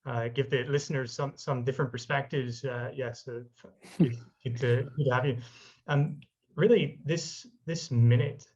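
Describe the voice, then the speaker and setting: Flat-toned male host on muffled video call. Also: medium pitch. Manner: encouraging, measured interviewer. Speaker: podcast host. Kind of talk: podcast interview.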